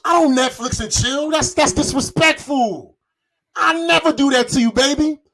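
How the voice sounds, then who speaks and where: A man's voice: extremely high pitch, normal speed, creaky, a bit bright. A man bantering casually on a comedy podcast, slangy and profane, repeating phrases for emphasis.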